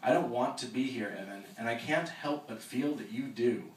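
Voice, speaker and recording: A man's voice: medium pitch, normal speed, slight background noise, voice pushed up, lightly acted. A man reading the lines of a guarded teenage boy who is defensive but grieving, pleading with rising urgency. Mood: pained. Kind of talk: quoted dialogue in a reading of a novel. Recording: echoey room with a distant mic.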